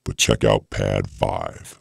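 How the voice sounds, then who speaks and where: Brief: an enormous gravelly giant, very low and husky, dry sound. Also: male voice, fairly even intonation, takes it slowly, no room sound, exaggerated voice. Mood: deadpan. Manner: demonstrating a product. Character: huge deep-voiced man. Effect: voice transformer pitched down.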